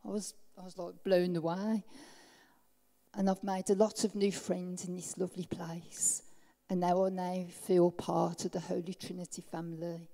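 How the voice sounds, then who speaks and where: A woman's voice: on the low side, flat delivery, normal speed, warm tone. A middle-aged woman giving her personal testimony at a baptism into a handheld mic, heartfelt and emotional. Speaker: baptism candidate. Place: large church hall with stage. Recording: long hall reverb.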